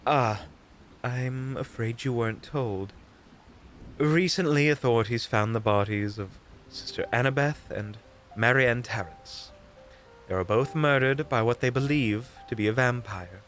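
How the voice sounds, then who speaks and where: A man playing a witty, unflappable investigator in an audio roleplay, with a coy, theatrical, mockingly polite and drawn-out delivery. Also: medium pitch, a bit bright, lightly acted. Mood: smug, courteous. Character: flamboyant, unflappable, witty investigator in their prime. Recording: background music.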